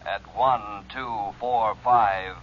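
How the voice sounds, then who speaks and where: An actor playing a deliberate, impassive police dispatcher in an old radio drama. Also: male voice, fairly low pitch, steady tone, background noise. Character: impassive male police dispatcher reading an emergency call. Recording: muffled old radio recording.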